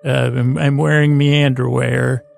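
A man hosting a bedtime podcast in a creaky, gravelly voice, rambling in a lulling, halting way with frequent stammers and self-interruptions. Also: medium pitch, level tone, soft background music. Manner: lulling, soothing. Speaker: podcast host. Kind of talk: rambling bedtime monologue to put listeners to sleep.

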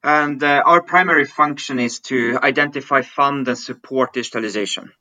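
Bright-voiced Swiss man, bright dry sound. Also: medium pitch, normal speed, dry recording. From Switzerland. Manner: thoughtful, repeats words while searching for phrasing. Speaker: podcast guest, digital business executive. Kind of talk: conversational interview answers.

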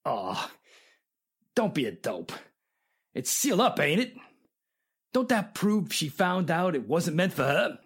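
A man playing a rough, dim crook in a 1940s style radio drama, with slangy, ungrammatical street talk. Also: a little high, big pitch swings, slow pace, pauses often, exaggerated voice. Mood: pushy, cajoling.

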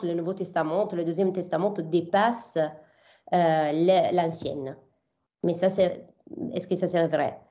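A woman's voice: fairly low pitch, mellow, highs cut off. A young Italian woman from southern Italy, a biblical scholar answering interview questions in French with many fillers and restarts.

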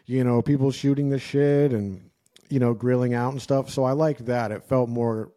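Mellow, slangy American man chatting. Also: mid-range voice, fairly even intonation, normal speed. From United States. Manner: informal, slangy, rambling. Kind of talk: casual chat, personal anecdotes.

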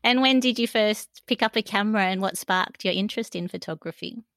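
A woman hosting an interview show, reading a scripted introduction and asking questions in a clear, measured way. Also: mid-range voice, fairly bright tone, no room sound, clean studio sound. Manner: clear, measured presenter delivery. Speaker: podcast host.

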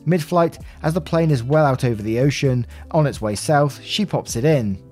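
A man reading a written story aloud as a podcast host, with expressive delivery and brief asides. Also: medium pitch, moderate pace, faint music behind.

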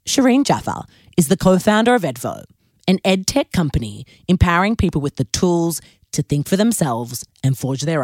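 A woman's voice: deep voice, darkish tone, dry recording, clean studio sound. A woman hosting a podcast, reading an upbeat intro and then chatting enthusiastically with her guest. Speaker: podcast host. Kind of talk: scripted intro then interview chat.

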